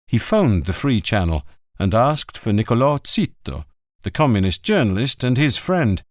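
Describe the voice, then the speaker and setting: A man reading a crime novel aloud as the narrator, with expressive delivery. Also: mid-range voice, normal speed, no room sound, clean studio sound. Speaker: audiobook reader. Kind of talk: scripted narration.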